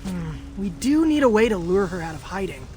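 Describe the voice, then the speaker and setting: A man playing an earnest, anxious but decisive teenage mutant turtle leader in an animated game. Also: high voice, a little fast, faint music behind. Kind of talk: animated video game acting.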